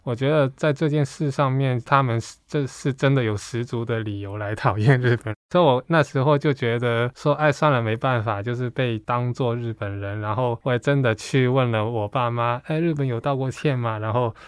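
A man's voice: mid-range voice, brisk, few pauses, clear, resonant tone, no room sound. A man from Hong Kong telling stories conversationally as an interview guest, agreeable, often repeating short affirmations. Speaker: interview guest, comic artist. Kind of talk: conversational storytelling. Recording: dry close sound, faint music behind.

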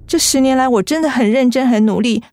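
Actress as hurt, high-voiced career woman. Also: quick pace, darkish tone, no room sound, close to an everyday voice. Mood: hurt, bewildered. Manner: earnest, wounded delivery. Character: wronged, earnest female career woman, hurt and dignified. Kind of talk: radio drama acting.

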